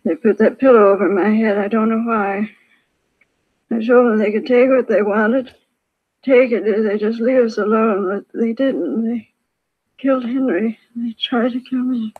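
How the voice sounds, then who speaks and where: A badly hurt widow, dazed with grief, speaks in weak, broken, repeated sentences. The sound is a very narrow, tinny vintage crime drama broadcast. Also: female voice, fairly high pitch, fairly even intonation, unhurried. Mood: distraught. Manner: weak, repetitive, distraught delivery in short broken sentences. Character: dazed, grieving, badly injured invalid widow. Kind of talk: old-time radio crime drama acting. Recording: thin, phone-like vintage radio recording.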